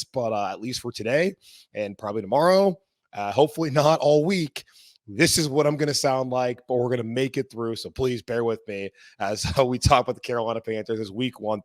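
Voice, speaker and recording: Hoarse man, casual sports podcast host, mid pitch, dry booth. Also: no echo. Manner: casual, rambling.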